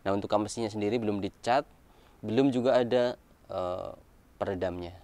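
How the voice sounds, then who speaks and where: A man's voice: lowish voice, a little fast, mellow, slight background noise. A man giving a casual walkaround car review, explaining things informally point by point.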